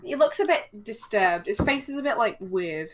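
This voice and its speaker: A woman's voice: mid-range voice, lively intonation, normal speed, a crowd in the background. A woman chatting casually in a group on an online voice call, blunt and sweary. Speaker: podcast co-host.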